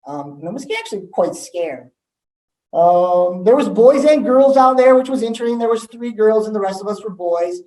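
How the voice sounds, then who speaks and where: A man's voice: high voice, lively intonation, normal speed, warm tone. A man, giving a candid talk to students as an assistant principal, with frequent ums. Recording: echoey room, voice from far off.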